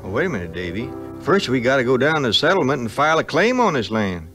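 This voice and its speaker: Animated grumbling backwoods Southern sidekick. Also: male voice, mid-range voice, quick pace. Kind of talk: 1950s record audio drama acting. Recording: 1950s vinyl record, music behind.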